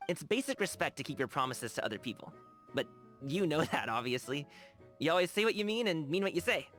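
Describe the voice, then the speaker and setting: Game role: cheerful, earnest young guy, casual and slangy, very lively pitch, music behind. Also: a little fast. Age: young adult. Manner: casual, chatty, slangy, eager. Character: cheerful, earnest young male lion-dancer and tea lover. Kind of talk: animated video game character dialogue.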